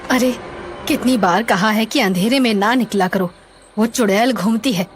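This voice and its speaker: A woman's voice: a little high, moderate pace, close to an everyday voice. Commanding demon witch, acted by a woman. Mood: scolding. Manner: commanding, menacing. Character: vengeful female demon witch who mimics a scolding wife. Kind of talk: animated horror story character.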